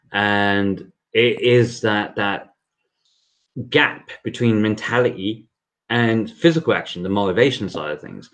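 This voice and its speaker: A man hosting a casual livestream interview, talking loosely and repeating words as he thinks. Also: on the low side. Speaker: host.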